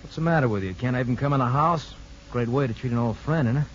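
An actor playing a menacing criminal ex-lover in a 1950s radio drama, slangy, needling and bullying. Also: male voice, mid-range voice, fast talker, natural voice. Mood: sneering. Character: menacing, sneering criminal ex-lover, blackmailing tough guy. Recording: dull, hissy 1950s radio recording.

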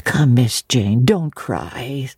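A woman's voice: extremely low pitch, expressive, extremely slow, mellow, slightly rough, no room sound, clean studio sound, full character voice. A woman voicing a fussy nursemaid in a storybook reading, scolding as she puts in her word. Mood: soothing. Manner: scolding, interjecting.

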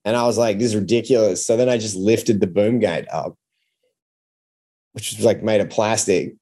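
A man's voice: on the low side, fairly even intonation, normal speed, dark tone. An Australian man with an Australian accent, a podcast guest and comedian telling anecdotes in a casual, conversational style.

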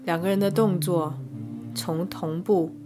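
A woman narrating a scripted first-person arts review in measured, descriptive short phrases. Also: on the low side, steady tone, music in the background. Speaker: podcast host and reviewer.

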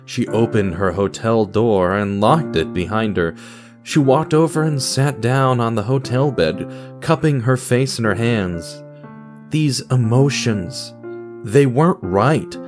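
A man's voice: medium pitch, slow. A man narrating an audio drama in a theatrical, wry style, confiding in the listener as a storyteller. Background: guitar music.

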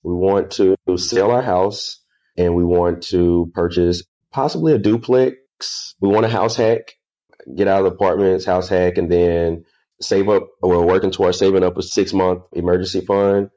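A man in his thirties, a podcast guest, answering earnestly with pauses and "uh" fillers. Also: deep voice, steady tone, dark tone, lo-fi sound. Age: 30s. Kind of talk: casual interview answers.

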